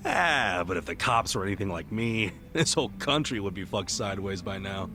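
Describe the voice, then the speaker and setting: Ex-yakuza guy, American accent, lowish voice, animated intonation, loyal, earnest, streetwise, game cutscene. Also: normal speed, slight background noise. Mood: reassuring, teasing. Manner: plain-spoken, streetwise, encouraging. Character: loyal, earnest, warm-hearted ex-yakuza man. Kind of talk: video game cutscene dialogue.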